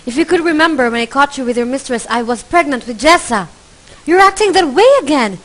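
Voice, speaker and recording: A woman's voice: sits high, animated, brisk. A woman playing a furious accusing wife. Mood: bitter. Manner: accusing, shouting in an argument. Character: bitter, furious middle-aged wife and mother. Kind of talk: amateur student radio drama acting.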